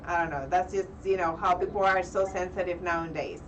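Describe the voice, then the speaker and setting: A woman's voice: on the low side, fairly even intonation, fairly quick, muffled recording. Chatty Mexican woman on a distant mic. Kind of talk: casual chat. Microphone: internet video call. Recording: distant mic with faint noise.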